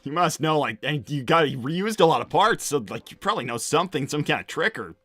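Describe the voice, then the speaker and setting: A man's voice: a little high, animated, a little fast, pushed and bright, no echo, faint music behind. A man voicing a young hedgehog hero in a livestream roleplay, casual and impatient, often stammering. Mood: uneasy. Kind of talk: improvised in-character livestream roleplay, reading out messages.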